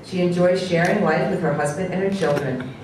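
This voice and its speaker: Woman reading an introduction in a booming hall. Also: a little fast, noisy background. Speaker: event organizer introducing the speaker. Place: large conference hall. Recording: very echoey hall, voice from a distance.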